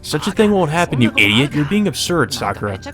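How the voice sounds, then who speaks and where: A man's voice: a little high, big pitch swings, quick pace, a bit bright, soft background music, voice pushed up. A man playing a worried, earnest young male student from a visual novel, firm and exasperated as he scolds. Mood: scolding.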